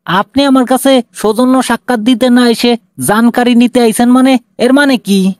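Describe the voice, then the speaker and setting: A self-important cartoon politician, acted by a man, with a very high-pitched male voice and a Bangladeshi accent. He sounds defensive and flustered, repeating himself and dodging. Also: steady tone, normal speed. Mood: alarmed. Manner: repetitive, self-important, evasive. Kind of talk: cartoon dialogue.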